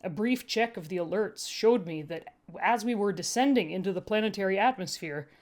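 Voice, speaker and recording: A woman's voice: medium pitch, lively intonation, normal speed. Dry, wry woman narrating fiction. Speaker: podfic narrator. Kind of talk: first-person story narration with casual ad-libbed asides.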